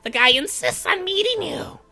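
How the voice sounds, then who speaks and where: A woman's voice: very high-pitched, big pitch swings, moderate pace, creaky, sharp tone, faint music behind, full character voice. A woman playing eager, chatty young girl cousins in a horror visual novel. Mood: urgent, chatty. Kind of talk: performed visual novel reading.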